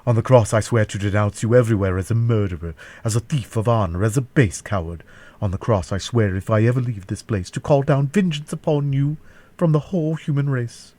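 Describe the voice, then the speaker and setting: A man's voice: on the low side, normal speed, darkish tone, close to an everyday voice. Man voicing a defiant, vehement captive woman. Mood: defiant oath. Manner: declamatory, vehement, solemn. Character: defiant, anguished captive young woman, proud and vengeful. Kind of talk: classic novel audiobook character voice.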